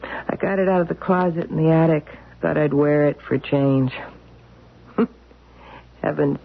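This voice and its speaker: An actress playing a calm, evasive wife who laughs off her husband's questions, in a radio drama. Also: deep voice, gravelly, exaggerated voice. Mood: breezy. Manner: slow, light, laughing off questions. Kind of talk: 1970s radio drama acting. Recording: muffled lo-fi old radio recording.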